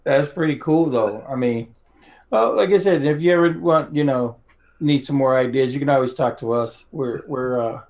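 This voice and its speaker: A man's voice: medium pitch, normal speed, darkish tone. A man chatting casually as a podcast interviewer in a rambling, conversational way. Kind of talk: casual chat.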